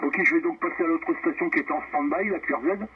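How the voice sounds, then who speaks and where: A man's voice: a little high, quick pace. A man chatting casually as an amateur radio operator, repeating his phrases, heard over a radio transmission. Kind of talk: casual radio contact chat. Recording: narrow phone-like radio band, noisy.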